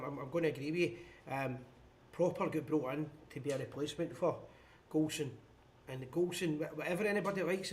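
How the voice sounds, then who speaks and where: A Scottish man hosting a live fan phone-in show, blunt and opinionated in casual chat. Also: on the high side. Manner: blunt, opinionated, quick-talking.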